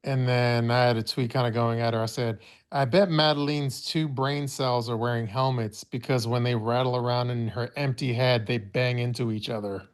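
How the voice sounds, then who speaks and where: A man's voice: medium pitch, fairly even intonation, moderate pace, few pauses, clear, resonant tone. Crude, joking male podcast co-host. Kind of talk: casual chat.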